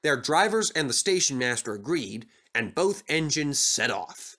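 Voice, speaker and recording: Bright, animated male storyteller. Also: medium pitch, a little slow. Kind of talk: scripted children's story narration.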